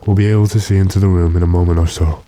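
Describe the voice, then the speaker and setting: A man plays an authoritative gentleman sleuth in a radio mystery. His low, mellow voice is measured and matter-of-fact. It sounds close and dry, with no room around it. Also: steady tone, no echo, close to an everyday voice. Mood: hushed watchful. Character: calm, authoritative gentleman investigator addressed as sir. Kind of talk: classic radio drama acting.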